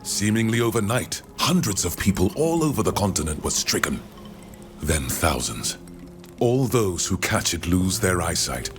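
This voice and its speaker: A low male voice plays an older, learned naturalist in a fantasy game. He is measured and courteous, reflective in temper. Music plays clearly underneath. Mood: grave. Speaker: scholar from the continent. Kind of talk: scripted video game dialogue. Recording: music behind the voice.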